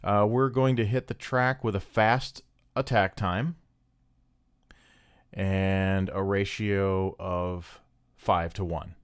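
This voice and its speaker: A man's voice: deep voice, fairly even intonation, takes it slowly, long pauses, clear, resonant tone, no echo, clean studio sound. A man casually explaining an audio production technique in a tutorial style.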